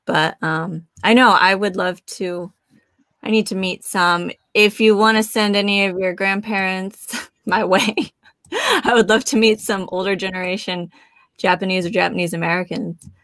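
Woman moderator, mid-pitched voice, laughs as she talks, dry webcam audio. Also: fairly even intonation, normal speed, dry recording. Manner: warmly laughing, conversational, asks questions. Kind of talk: casual video-call chat. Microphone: webcam on a video call.

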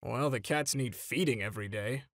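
A man voicing a tired, grumpy adult male hero teacher in a comic dub, deadpan and understated. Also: medium pitch, moderate pace, dry recording, clean studio sound. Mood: flat, unbothered. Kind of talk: animated comic dub voice acting.

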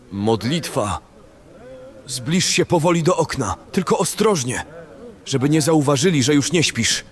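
A man acting a selfish, panicky bandit in a fantasy audio drama, with an excitable, colloquial way of speaking. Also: medium pitch, lightly acted. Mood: cautious.

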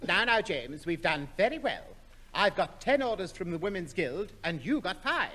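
Very high-pitched prim, flustered gentleman. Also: big pitch swings, normal speed, full character voice. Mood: pleased, fussy. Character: fussy, naive, easily outraged prim gentleman. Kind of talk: 1960s radio sitcom acting. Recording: reverberant old radio studio recording.

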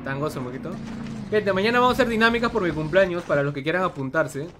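A man's voice: sits high, expressive, quick pace. A man, streaming a game live, chatting casually and greeting viewers by name. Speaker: gaming streamer. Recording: background noise.

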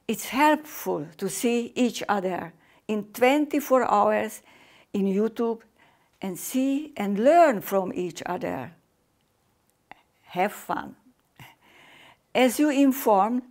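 An older woman with a Hungarian accent delivers a formal welcome address in careful, halting English. She speaks very slowly and deliberately at a middle pitch, recorded indoors facing the camera. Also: vocal fry, a little mellow. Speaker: competition artistic director. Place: indoor room with painting behind.

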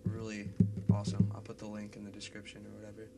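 A congested young man with a cold introduces his song demo in a quiet, mumbled, quick casual way, talking into a webcam in a small bedroom. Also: fairly low pitch, completely flat delivery, heavy background noise. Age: young adult. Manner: quiet, mumbled, fast and filler-heavy talk. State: congested with a cold and sore throat. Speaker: singer-songwriter. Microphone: webcam close up. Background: acoustic guitar.

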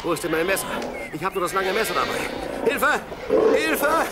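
An actor playing a plucky, devout young shepherd, the youngest brother, with earnest, dramatic delivery in an audio drama. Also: male voice, high-pitched, very animated, normal speed, over loud music, over a noisy crowd. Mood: frantic, shouting. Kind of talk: German audio drama acting. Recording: clearly noisy radio play with sheep sounds.

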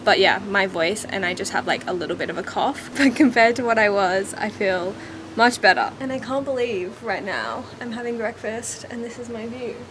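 Slightly raspy young Australian woman, noisy background. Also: mid-range voice, expressive, normal speed, a bit bright. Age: 20s. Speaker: travel vlogger. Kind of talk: casual vlog chat to camera. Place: holiday apartment balcony, kitchen and seaside terrace.

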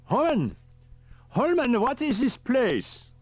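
A high pitched professor in an audiobook, precise, formal, excitable, swoopy delivery. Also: male voice, slow pace, soft background music, heavily acted. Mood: intent. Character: excitable male professor, precise and dramatic, on the radio.

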